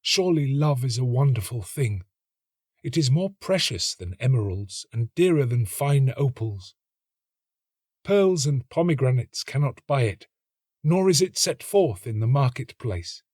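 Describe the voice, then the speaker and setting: A man's voice: mid-range voice, big pitch swings, unhurried, darkish tone, dry recording, studio quality, pitched above the performer's own voice. A man voicing a tender female nightingale in a storybook, earnest and romantic, with a lyrical, wondering delivery. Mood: wondering, admiring. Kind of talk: storybook reading.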